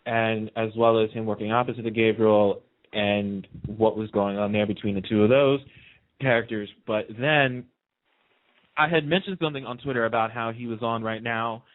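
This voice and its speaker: A man's voice: fairly low pitch, steady tone, moderate pace. A man chatting casually and enthusiastically in an interview, telling anecdotes and acting out the dialogue he quotes. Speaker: radio host being interviewed as a guest. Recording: band-limited, lo-fi phone-in radio sound.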